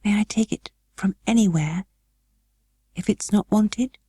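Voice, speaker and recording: A woman's voice: mid-range voice, a little slow, dark tone, no room sound, pitched above the performer's own voice. A woman voicing a timid, earnest little girl who speaks in a hesitant, tremulous, pleading way for a storybook. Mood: shy, earnest. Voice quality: tremulous, breathy when nervous.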